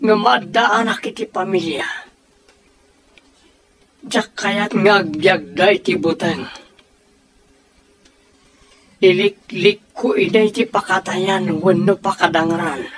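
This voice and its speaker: Woman acting slow-spoken, earnest grown child. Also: mid-range voice, close to an everyday voice, poor audio. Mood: pleading, resolute. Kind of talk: Ilocano radio drama acting.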